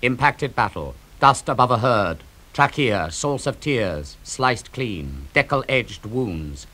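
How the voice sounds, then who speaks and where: A man reads epic poetry aloud for an audiobook with a theatrical delivery. His pitch rises and falls widely, dropping to a hush and then ringing out. The tone is bright and forceful. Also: big pitch swings, slow pace. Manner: theatrical, swings from hushed to ringing. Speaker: audiobook reader. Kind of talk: dramatic reading of epic poetry.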